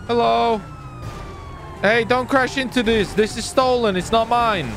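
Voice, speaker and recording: A man giving casual, excited commentary on his gameplay, chatty and often repeating his phrases. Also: extremely high pitch, unhurried. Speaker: gaming video host and player.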